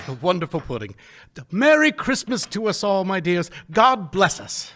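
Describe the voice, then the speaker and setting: A man playing a gentle poor clerk and father, speaking tenderly and haltingly in a live radio play. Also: high-pitched, very animated, exaggerated voice. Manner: soft-spoken, tender and halting. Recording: live in a reverberant church hall.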